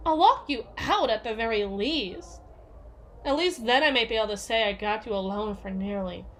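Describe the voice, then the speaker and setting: A woman's voice: a little high, slight background noise, full character voice, poor audio. A woman playing a domineering, jealous yandere with biting sarcasm and a taunting, theatrical manner in an ASMR roleplay. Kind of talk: ASMR roleplay audio drama.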